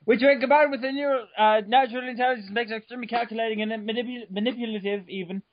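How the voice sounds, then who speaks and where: A man giving a mock-dramatic reading of an article, over the top, bursting into shouts and stumbling over words. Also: extremely high pitch, steady tone, rapid-fire, lo-fi sound, slight background noise. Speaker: reader.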